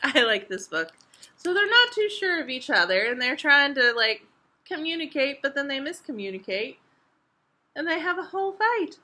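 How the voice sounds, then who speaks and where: A woman hosts a story time for toddlers. Her voice is high and bright, swinging widely in pitch. She reads in simple, emphatic phrases with a sing-song lilt, taking her time. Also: sing-song, moderate pace. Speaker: children's library story-time reader. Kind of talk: animated picture-book read-aloud for toddlers.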